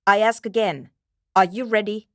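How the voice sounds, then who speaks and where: An actress playing a cool, scientifically curious young woman programmer in a video game, speaking in a measured, technical, dryly formal way. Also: medium pitch, fairly even intonation, fairly bright tone, no room sound, studio quality, close to an everyday voice. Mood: focused, analytical. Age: young adult. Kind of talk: video game character voice acting.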